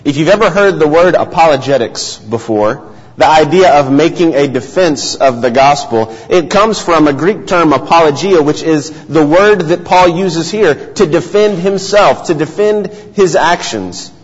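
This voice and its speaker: A man preaching from the pulpit, measured and earnest as he tells his story. His voice sits a little high for a man. The sound is muffled and rings with the long reverb of a large church sanctuary. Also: expressive, breathy edge. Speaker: pastor. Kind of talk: sermon.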